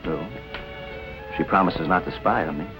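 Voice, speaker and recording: A man's voice: low-pitched, moderate pace. An actor playing a cool, wry tough-guy suspect with slangy, casual 1940s film noir delivery. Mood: relaxed, explaining. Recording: vintage film soundtrack, faint music.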